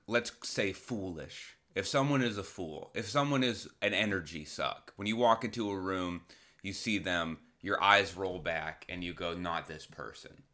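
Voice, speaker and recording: A man's voice: lowish voice, sharp tone. A man talking casually as a podcast host, rambling candidly with self-deprecating comedy.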